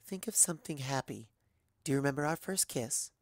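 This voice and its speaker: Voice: medium pitch, expressive, fairly quick, no room sound, studio quality, voice pushed up. An adult narrator voicing an affectionate, teasing, protective mare and wife in a fan fiction reading. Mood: soothing.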